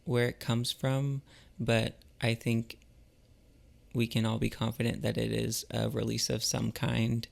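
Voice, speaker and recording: A man chatting casually and reflectively to viewers as a vlogger, in a halting, musing way with trailing pauses. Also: mid-range voice, completely flat delivery, gravelly. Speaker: vlogger and artist.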